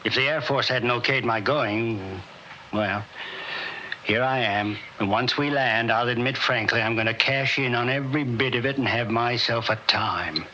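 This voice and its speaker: A man's voice: mid-range voice, moderate pace, bright, forceful tone, lightly acted. An actor playing a frank, adventurous Air Force major in his late thirties in a 1960s radio drama. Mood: frank, eager. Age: late 30s. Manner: frank, plain-spoken. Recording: dull, faintly noisy old radio recording.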